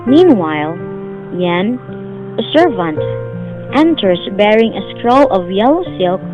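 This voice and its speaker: A woman's voice: mid-range voice, big pitch swings, takes it slowly, music in the background. A woman narrating stage directions for a drama in a scripted storytelling style. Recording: dull, lo-fi recording.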